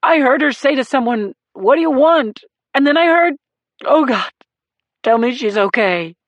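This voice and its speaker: A big, grief-stricken husband pleads through sobs, his voice pushed high and loud with desperation, as a woman narrator acts him out. The audiobook recording is dry and close. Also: animated, moderate pace, dry recording, muffled recording. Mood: desperate sobbing. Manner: choked, sobbing pleas. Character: grieving husband, big man, sobbing, desperate.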